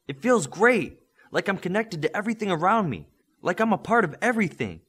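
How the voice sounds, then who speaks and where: Man voicing an excitable young superhero trainee, pitched up. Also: brisk, slightly rough, heavily acted. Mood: awed, elated. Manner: excited, earnest. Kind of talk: audiobook character acting.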